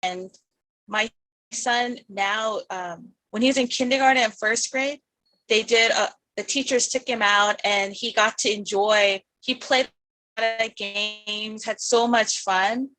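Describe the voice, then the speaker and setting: A woman's voice: a little high, steady tone, unhurried, bright, forceful tone, dry recording, low-quality recording. Eager rambling woman on a dry call. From United States. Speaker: parent board member. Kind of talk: casual online meeting talk. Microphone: video call.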